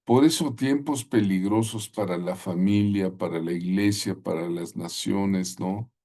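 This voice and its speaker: A man teaching a Bible school class in a preaching style. He talks deliberately, often pausing on "eh", adding tag questions, and calling on listeners at home to repeat phrases. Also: low-pitched, mellow.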